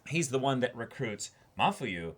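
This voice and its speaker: A man co-hosting a casual discussion show, talking in an animated way, repeating phrases for emphasis and halting as he thinks aloud. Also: medium pitch. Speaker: co-host of an anime reaction show. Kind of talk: casual discussion of an anime episode.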